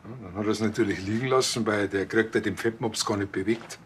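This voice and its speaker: A man's voice: on the low side, fairly even intonation, fairly quick, slight background noise, close to an everyday voice. An actor playing a naive, animal-loving small-town policeman with a Bavarian accent, earnest and colloquial. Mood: earnest, casual. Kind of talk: TV crime comedy acting.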